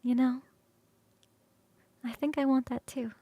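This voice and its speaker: A woman voicing an ancient succubus queen for ASMR roleplay, tender and teasing, speaking slowly and intimately, often near a whisper. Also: sits high, steady tone, mellow, no echo. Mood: soothing, loving.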